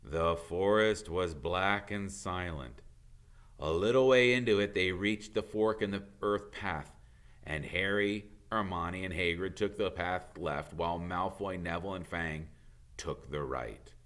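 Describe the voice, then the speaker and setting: A man's voice: deep voice, unhurried, a bit bright. A man reading a storybook aloud as a teacher would, in dramatic narration.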